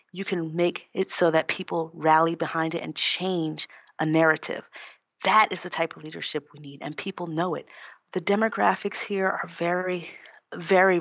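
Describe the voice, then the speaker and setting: A woman's voice: low voice, a bit bright, no echo, muffled recording. An American woman being interviewed as a political candidate, speaking conversationally in an earnest, emphatic, explanatory way.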